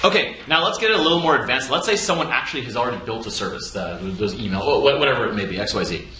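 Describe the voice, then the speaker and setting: A man co-hosting a casual chat, asking questions in a relaxed, joking way. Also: medium pitch, fast talker. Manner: relaxed, joking, stumbling restarts. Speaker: co-host. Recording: echoey room with faint noise.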